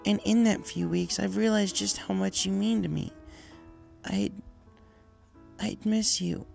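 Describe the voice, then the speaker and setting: Man acting as brash young pony girl, creaky voice, raised pitch, earnest and blunt, storybook character, music behind. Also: a little slow, darkish tone, heavily acted. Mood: hurt, tender.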